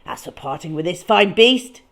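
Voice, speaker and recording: A pompous, indignant boss from a children's storybook, played by a woman reader. He blusters in short, exclamatory bursts, raised in pitch and loud, with lively swings. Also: moderate pace, lightly acted. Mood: indignant, blustering. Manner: exclamatory, blustering, clipped outbursts.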